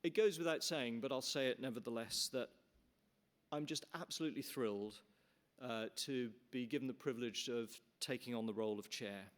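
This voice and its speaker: A middle-aged man from Canada addresses a hall of scholars as the new chair. His delivery is earnest and formal, in a middle-pitched voice. He often stumbles into restarts and fillers. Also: a little fast. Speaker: chair giving a welcome speech. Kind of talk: formal welcome speech. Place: large conference hall.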